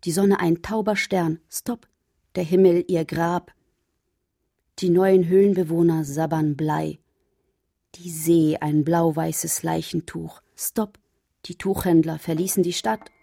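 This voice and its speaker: A woman reciting poetry in a radio production, solemn, with a gravelly tone that often slips towards a whisper. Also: lowish voice, fairly even intonation, slow pace, dark tone, no room sound, clean studio sound, heavily acted. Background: music.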